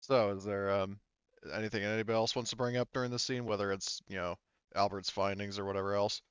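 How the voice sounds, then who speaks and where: A man's voice: lowish voice, steady tone, fast talker, fairly bright tone, smooth, no echo, muffled recording. A man running a tabletop roleplaying game as game master, narrating and prompting the players casually.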